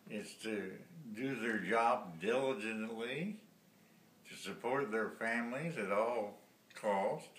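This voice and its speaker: A man, a retired journalist giving earnest, measured interview answers. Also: lowish voice, a bit bright, slight background noise. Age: 80s. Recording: roomy echo, distant mic.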